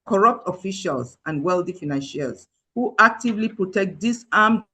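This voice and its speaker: High, husky man giving a forceful statement. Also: animated. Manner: forceful, deliberate. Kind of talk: public statement, speech.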